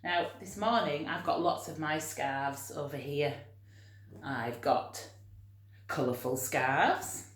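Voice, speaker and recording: A woman teacher reading and talking to young children in an expressive, encouraging way. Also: low voice, takes it slowly. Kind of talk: storytime read-aloud and teaching for young children. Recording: roomy, echoing home recording.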